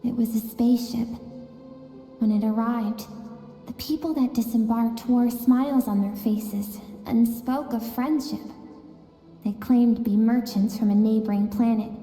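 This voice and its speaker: An actress playing a gentle, wistful female storyteller in a video game, narrating softly with echoing reverb. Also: a little high, steady tone, unhurried, lightly acted. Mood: somber. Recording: echoing, long reverb over music.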